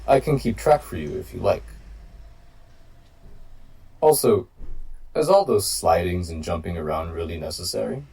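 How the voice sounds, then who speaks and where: A man voicing a loyal giant combat robot AI in an audio roleplay, formal, measured and protective of a human partner, with a gravelly voice. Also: low voice, lively intonation, warm tone, recorded from a distance, full character voice, poor audio. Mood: measured, mildly reproachful. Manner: formal, measured, literal-minded. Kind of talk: scripted audio roleplay addressed to the listener.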